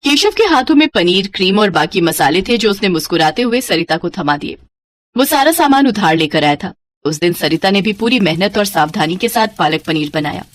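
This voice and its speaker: Female narrator for children's tales; animated, expressive scripted delivery, mid-pitched. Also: a little fast, no room sound. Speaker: storyteller.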